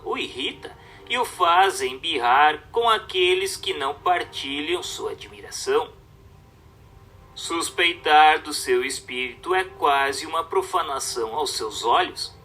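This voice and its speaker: A man with a Brazilian accent reading a religious treatise aloud as a narrator, in a formal, measured, didactic way. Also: on the high side, bright, forceful tone.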